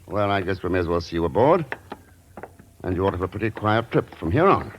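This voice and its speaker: An actor playing a wry, unflappable secret agent in a 1940s radio drama, dry and authoritative. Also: male voice, low-pitched, big pitch swings, quick pace. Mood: cool, assured. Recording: muffled, noisy vintage radio recording.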